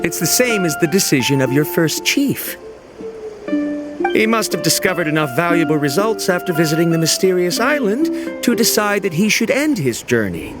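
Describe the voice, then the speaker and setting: A man's voice: sits high, sing-song, moderate pace. A man voicing a proud, embittered researcher in a video game, speaking formally and stiffly, ready to argue. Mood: insistent. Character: proud, embittered male researcher, stubborn and results-obsessed. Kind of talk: video game character acting. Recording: loud music behind the voice.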